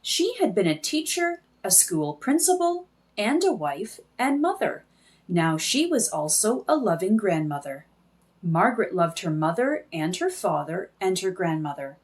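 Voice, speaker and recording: A woman reading a children's book aloud in an expressive storytelling style. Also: mid-range voice.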